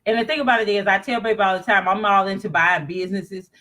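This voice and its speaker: Bright-voiced woman chatting. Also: mid-range voice, fairly even intonation, fast. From East Texas. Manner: chatty, confident, talks straight to viewers. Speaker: vlogger. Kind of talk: casual travel chat.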